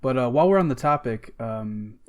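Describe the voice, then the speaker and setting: A man with a medium-pitched voice. He sounds like a podcast co-host in informal conversation, talking things over casually with a partner. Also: animated, fairly quick. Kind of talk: casual chat.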